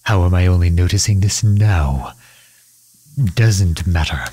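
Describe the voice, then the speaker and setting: Guy doing a bossy protective villain for audio roleplay, real deep n breathy, formal and stern. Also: mellow, no echo. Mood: urgent, commanding. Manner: formal, stern, halting when flustered. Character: protective, commanding male villain fretting over injured hero. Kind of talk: scripted roleplay audio drama in ASMR style.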